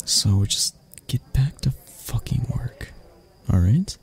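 Man acting a gravelly, grumpy CEO boss. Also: mid-range voice, animated, takes it slowly, heavily acted. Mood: irritated, sardonic. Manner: curt, sardonic, stammering when flustered. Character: arrogant, grumpy male CEO, secretly anxious and vulnerable. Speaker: voice actor. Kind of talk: ASMR roleplay audio drama.

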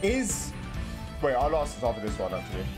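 A man's voice: medium pitch, fairly quick. A young man giving casual, exclamatory live reaction commentary, slangy and full of swearing. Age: young adult. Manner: expressive, exclamatory, slangy, profane. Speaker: reaction video host. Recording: background game music under voice.

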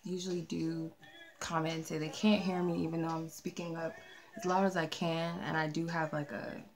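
A woman with a soft, mellow voice giving a casual channeled tarot reading, chatty and rambling with many fillers. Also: low voice, little pitch movement, normal speed. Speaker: tarot reader. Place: candlelit room with a tarot table. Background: R&B music playing.

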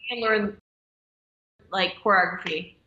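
A woman's voice: mid-range voice, steady tone, slow, sharp tone, echoey room, dull audio. A woman, an American stunt performer, chatting casually as a podcast guest, loose and easygoing with many "yeah"s and "like"s. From United States. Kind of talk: casual interview chat.

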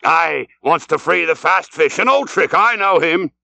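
Man acting an obsessed old whaling captain, pitched high. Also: lively intonation, measured pace, creaky, pushed and bright, dry recording, full character voice. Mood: excited. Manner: urgent, archaic nautical commands and questions.

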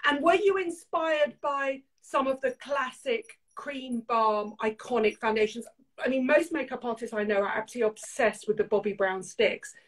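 A woman's voice: a little high, normal speed, poor audio. A woman, hosting a beauty interview over a video call from home and asking questions with enthusiasm. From England. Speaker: host, beauty presenter. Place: home room.

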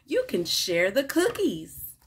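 A welcoming mother from a children's storybook, read out by a woman. She is cheerful and hospitable, with very lively pitch and a slow, sing-song delivery. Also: breathy edge, exaggerated voice.

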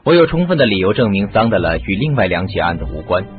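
An actor playing a clever, self-assured sleuth in a radio mystery, explaining with confidence. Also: male voice, on the low side, sing-song, fast. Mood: reasoning firmly. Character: clever, self-assured male sleuth making his case. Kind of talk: Chinese radio mystery drama acting. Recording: muffled lo-fi radio drama, music behind.

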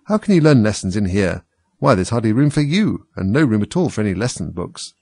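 A man's voice: fairly low pitch, sing-song, brisk, darkish tone, slightly rough, no room sound, heavily acted. A man voicing a chatty young girl who muses earnestly and plaintively to herself in a storybook. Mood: self-scolding. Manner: musing, earnest. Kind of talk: storybook character voice.

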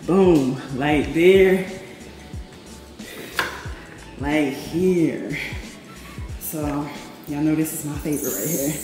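Woman vlogger, low relaxed voice, hyped "boom, period" delivery, empty echoing apartment with music under it. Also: takes it slowly. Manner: chatty, upbeat, says "boom" and "period" a lot. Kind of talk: casual apartment tour vlog. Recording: echoey empty room with music behind. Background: background music.

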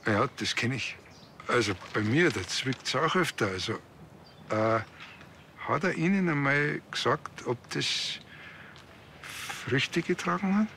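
A man's voice: medium pitch, expressive, slow pace, creaky, long pauses, bright, forceful tone, slight background noise, full character voice. An actor playing an awkward, chatty, naive village policeman with a Bavarian accent and a hesitant, rambling manner, in a TV crime comedy. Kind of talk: naturalistic TV crime comedy dialogue.

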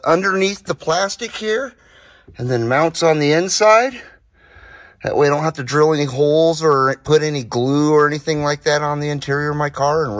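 Casual American male vlogger, folksy and informal, with very varied intonation and a bright edge. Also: fairly high pitch. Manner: folksy, lightly swearing, informal. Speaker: host of a hobby radio channel. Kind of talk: casual project vlog narration. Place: home garage, indoors.

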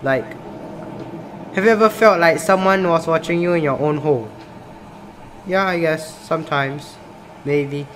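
A young gaming YouTuber from Singapore reacts to a game in a fairly high voice, drawn out and unhurried. He reads each question aloud and answers offhandedly, with "bro" dropped in again and again. A faint hiss sits under the recording. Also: fairly bright tone. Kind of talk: casual gameplay commentary. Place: small room indoors.

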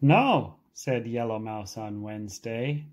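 An English teacher reading a storybook aloud for learners, with deliberate, expressive and emphatic phrasing. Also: medium pitch.

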